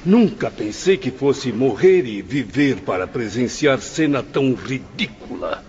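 An actor with a Brazilian accent playing a pompous, disdainful professor in a radio drama. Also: male voice, mid-range voice, lively intonation, unhurried, a little mellow, lightly acted. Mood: disgusted. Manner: pompous, scornful. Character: haughty, disdainful male professor, a hospital patient. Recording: dull lo-fi radio recording.

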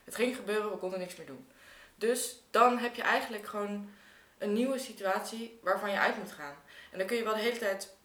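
A woman speaks in a bright, sharp-edged voice. She reasons aloud in a casual, reflective way, repeating phrases for emphasis. The sound is roomy, with clear echo. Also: mid-range voice, a little fast. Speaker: artist, podcast guest. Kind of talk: casual reflective group conversation. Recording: roomy, echoing room sound.

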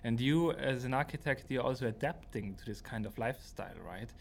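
A man's voice: medium pitch, brisk, gravelly, a little hiss or hum. A man with an Austrian accent and German as his first language, talking reflectively as an architect being interviewed.

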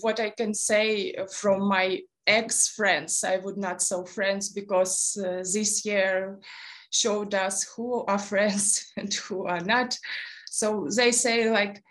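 Young Ukrainian-accented woman guest; slow, hesitant delivery full of fillers. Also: mid-range voice, steady tone, a bit bright, low-quality recording. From eastern Ukraine. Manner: hesitant, many pauses and fillers. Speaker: guest speaker, Fulbright coordinator. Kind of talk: interview conversation.

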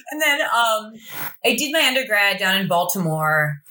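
Bright, lively woman in her forties. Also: medium pitch, rough recording. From Pennsylvania, USA. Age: 40s. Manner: chatty storyteller, frequent "like" and laughs. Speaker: guest, veterinarian. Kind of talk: casual interview chat.

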